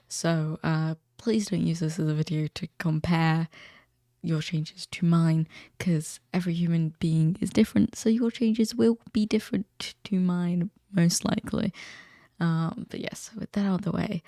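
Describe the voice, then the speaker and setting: A teenage man chats casually in a recently deepened voice with a gravelly crackle. His tone is dark and relaxed, recorded dry and close. He rambles a little and interrupts himself as he goes. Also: dry recording. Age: teens. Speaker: vlogger. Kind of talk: casual chat to camera.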